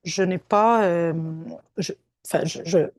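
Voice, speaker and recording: A woman's voice: on the low side, slow, darkish tone, dry recording, studio quality. A middle-aged woman from Tunisia giving an academic talk over a video call, careful and hesitant, with many fillers and restarts. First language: Arabic. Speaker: guest lecturer, anthropologist. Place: home or office on a video call.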